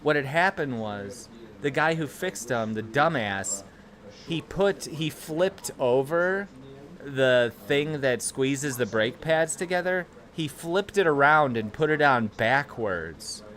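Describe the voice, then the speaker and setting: A man's voice: mid-range voice, expressive, takes it slowly, fairly bright tone. A young man with a Chicago accent, hosting a casual livestream from a small room at home and telling rambling, profane stories to his chat. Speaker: livestream host.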